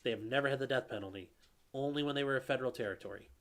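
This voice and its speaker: A man with a middle-pitched, slightly nasal yet smooth voice talks through viewer questions on a live stream. He speaks casually, with plenty of fillers and restarts. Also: rapid-fire, a bit bright. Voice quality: calls his own voice nasally; a viewer calls it velvety. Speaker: lawyer host answering live chat.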